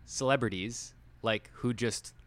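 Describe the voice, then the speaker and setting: A man's voice: medium pitch, measured pace. A man co-hosting a casual discussion, talking in a loose, rambling, hedging way peppered with "like". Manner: rambling, hedging, heavy use of "like". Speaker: co-host. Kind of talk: casual film discussion chat.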